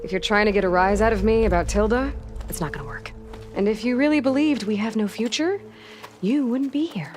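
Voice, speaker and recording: A woman's voice: a little high, a little fast, faint music behind, natural voice. A woman playing a determined young warrior in a video game, guarded and wry, with a direct, skeptical delivery. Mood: defiant. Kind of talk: cinematic video game acting.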